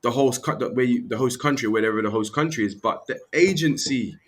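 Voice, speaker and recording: A man's voice: medium pitch, quick pace. British guy explaining stuff on a podcast, earnest, keeps going "right?". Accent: British English. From England. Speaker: guest. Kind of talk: conversational podcast interview.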